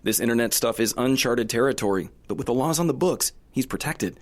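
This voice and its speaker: A man's voice: medium pitch, lively intonation, brisk, natural voice. An actor playing a deferential male FBI agent in an audio drama, formal and matter-of-fact. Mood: measured, official. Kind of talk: radio drama acting.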